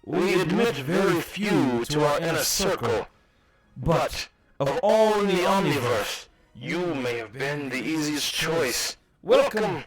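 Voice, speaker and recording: A man voicing the composed leader of a secretive villainous order, formal and measured, in a comic reading. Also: fairly high pitch, expressive, far from the mic, loud music behind the voice, pitched below the performer's own voice, rough recording. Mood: gracious, controlled.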